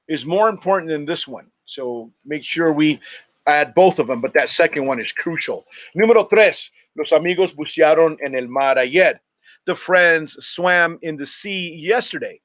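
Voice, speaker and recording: A man's voice: a little high, lo-fi sound. A man teaching a lesson over a screen share, explaining step by step in an instructive manner and spelling things out. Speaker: Spanish teacher.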